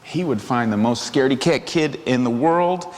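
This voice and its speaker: A man's voice: medium pitch, moderate pace, darkish tone. A middle-aged man reading a picture book aloud to children, playful, with chatty asides. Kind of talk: animated read-aloud story time with asides to children. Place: large church hall, seated among children. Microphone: lavalier clipped to shirt. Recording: reverberant hall, faint background noise.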